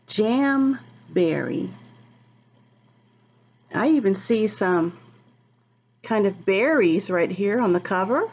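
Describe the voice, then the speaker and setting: A woman reading a picture book aloud to children in a teacherly, expressive, sing-song way, explaining and asking questions as she goes. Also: medium pitch, lo-fi sound. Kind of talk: read-aloud storytime with asides to children.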